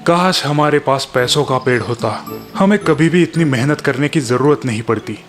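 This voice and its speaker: A man's voice: medium pitch, moderate pace, lightly acted. A man voicing a discontented, impatient villager farmer who wants money, in a dramatized children's moral story. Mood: wistful. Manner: grumbling, impatient. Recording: music behind the voice.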